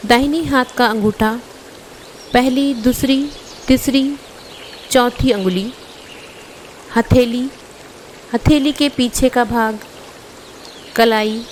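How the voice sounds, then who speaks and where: A woman guiding a yoga nidra meditation as an instructor, giving measured instructions in short phrases with pauses. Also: on the high side, slight background noise.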